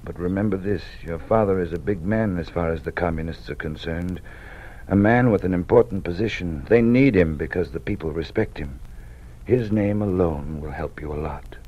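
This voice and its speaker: An actor playing a weary older male college dean who lives in fear, speaking in a measured, quietly warning way in a 1950s radio drama. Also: low-pitched, dull audio. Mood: earnest. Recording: old radio broadcast with faint hiss.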